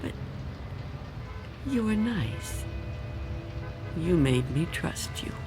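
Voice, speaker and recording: An actress playing a frightened, fragile old woman in a radio drama, tremulous, pleading and halting. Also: on the low side, very animated, over music, full character voice. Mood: wounded, subdued. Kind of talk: dramatic radio play acting.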